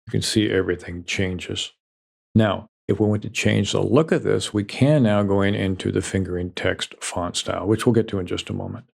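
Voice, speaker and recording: A man giving a calm, methodical, step-by-step software tutorial over a screen recording. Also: sits low, vocal fry.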